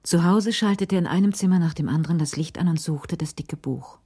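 A woman narrating a crime radio drama in a cool, measured, literary style. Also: lowish voice, dark tone, husky.

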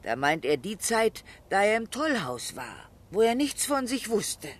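An actress playing a sorrowful older mother in a radio drama, with a husky voice and weary, lamenting manner. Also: medium pitch, sing-song, unhurried. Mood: resigned, sorrowful. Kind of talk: German literary radio drama acting.

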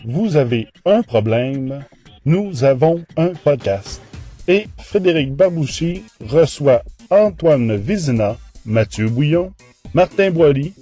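Mellow, higher-voiced man presenting. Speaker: podcast segment presenter. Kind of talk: scripted news bulletin presentation. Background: faint music.

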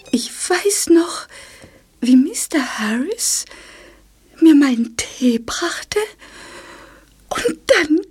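A woman playing a shaken, gentle lady with a breathy, whispery voice in an audio drama. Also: high-pitched, heavily acted.

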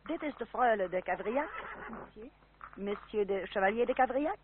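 A thin, noisy old recording carries a high-pitched noblewoman, played by a woman. She is an anxious, easily affronted countess in a radio drama, ladylike and formal. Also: animated, moderate pace, close to an everyday voice. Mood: gracious. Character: genteel, anxious, indignant French countess. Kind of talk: radio play acting. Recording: narrow old radio recording, clear noise.

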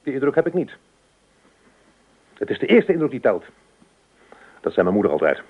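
Husky, volatile, jealous husband, muffled radio play. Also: male voice, medium pitch, very animated, moderate pace. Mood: reproachful. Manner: sarcastic, nagging, accusatory. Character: jealous, suspicious husband, mocking, mother-devoted, easily panicked. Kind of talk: radio play acting in dialogue.

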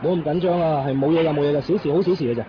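An actor playing a brisk, dismissive fire brigade captain in a 1990s Hong Kong Cantonese radio drama. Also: male voice, on the high side, crowd noise, close to an everyday voice. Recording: muffled radio recording with clear background noise.

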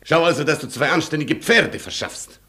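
An actor playing a proud, stubborn aristocratic Polish colonel in a radio play, haughty and curt. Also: male voice, a little high, normal speed, sharp tone. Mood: commanding, impatient. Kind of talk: theatrical radio play acting.

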